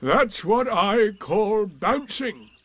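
A gloomy old donkey from a storybook. He grumbles gruffly and very slowly, every word dragged out and put-upon. The voice sits high for a man and is recorded dry and close. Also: lively intonation, no room sound, exaggerated voice. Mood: grumbling. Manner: gruff, drawn-out, mournful delivery. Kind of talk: storybook character reading.

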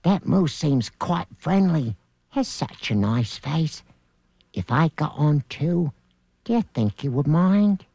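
A well-mannered little spider, put on by the storyteller asks his questions politely and slowly. The sound is close and dry. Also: mid-range voice, animated, warm tone, slightly rough, no echo, full character voice.

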